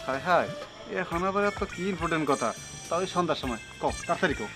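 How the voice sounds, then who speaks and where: Harried married villager, played by a man, Bangladeshi accent, slightly high register, rapid and appeasing. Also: lively intonation, natural voice. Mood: impatient. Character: nervous married village man giving in to his lover. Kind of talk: Bengali web comedy drama acting. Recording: clear music under the voice.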